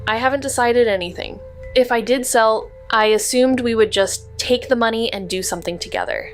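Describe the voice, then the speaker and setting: A woman's voice: a little high, moderate pace, faint music behind, lightly acted. An actress playing a practical, guarded female amateur sleuth in an audio drama, terse, wry and casual. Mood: uncertain.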